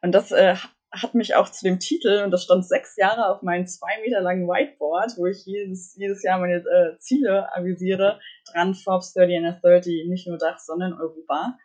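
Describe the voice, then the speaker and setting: A woman's voice: fairly low pitch, fairly even intonation, moderate pace, a little mellow. A young woman from northern Germany, a tech founder and podcast guest, talking energetically with frequent fillers, restarts and English buzzwords. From Mecklenburg, Germany. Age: young adult. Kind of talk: conversational podcast interview.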